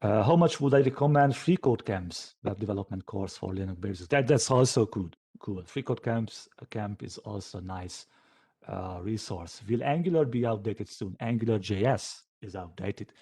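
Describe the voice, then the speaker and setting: Man, slightly low pitch, dark relaxed tone, hesitant and halting, informal conference Q&A. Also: breathy edge. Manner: hesitant, halting, thinking aloud. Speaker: software developer giving a conference talk. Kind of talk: informal question-and-answer session after a talk.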